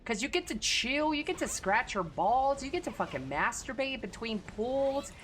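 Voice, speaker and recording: A woman's voice: a little high, sing-song, normal speed, a little hiss or hum. A woman with a Texan Southern accent chatting casually and crudely with her audience while streaming a game. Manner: crude, profane, laid-back. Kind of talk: casual gaming stream chat.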